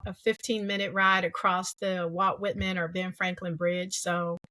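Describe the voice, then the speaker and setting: A woman's voice: fairly low pitch, little pitch movement, normal speed, pushed and bright, no echo. A woman in her fifties from the US East Coast, a surgeon telling her story fluently as a podcast guest. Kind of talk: conversational interview.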